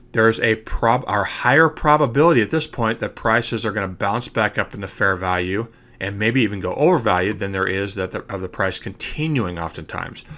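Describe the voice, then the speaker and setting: A man's voice: lowish voice, sharp tone. Congested man giving a trading lecture. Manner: explanatory, repeats "okay?" to check understanding. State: congested from allergies. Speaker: trading educator. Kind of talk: screen-recorded slide lecture.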